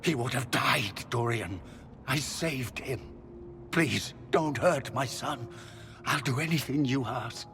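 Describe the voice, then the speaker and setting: Casting: a defeated sorcerer father for a fantasy game, measured and slow, grave, theatrical, full of remorse. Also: medium pitch, pushed and bright, slight background noise. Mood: pleading. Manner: grave, theatrical, resigned delivery. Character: broken, remorseful middle-aged magister and desperate father. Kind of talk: dramatic video game dialogue.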